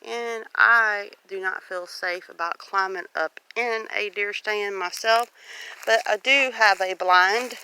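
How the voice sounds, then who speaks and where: A woman's voice: medium pitch, slow pace, bright, forceful tone, smooth, rough recording. A woman with a Southern US accent chats in a folksy way, explaining things as she walks and films a casual vlog. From Louisiana, US. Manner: chatty, folksy, explaining while walking. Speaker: homestead vlogger. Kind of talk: casual walk-and-talk vlog. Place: outdoors on a rural homestead. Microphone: handheld phone. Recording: faint outdoor noise, handheld phone.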